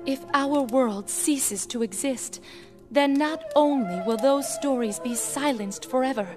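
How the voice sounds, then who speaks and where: A woman's voice: high-pitched, unhurried. A woman voicing an earnest, formal young female genie in a game cutscene. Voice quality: earnest, formal, a little fussy. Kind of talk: video game cutscene voice acting. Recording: loud music bed.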